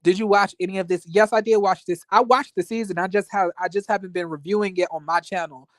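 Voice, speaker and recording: A man with an American accent chatting casually as a livestream host, in a sassy, expressive, slangy conversational way. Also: high-pitched, fast, dry recording. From United States, born in rural Florida and raised in Las Vegas. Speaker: YouTube reviewer and livestream host. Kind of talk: casual livestream chat with viewers.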